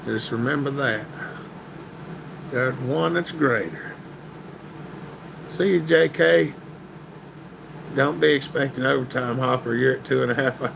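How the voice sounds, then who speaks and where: A man, hosting a casual live stream and answering viewers by name, his voice getting hoarse and stuffy. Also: medium pitch, a little slow, pauses often, noisy background. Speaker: livestream host reading chat. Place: indoor workshop room, sitting at a metal table.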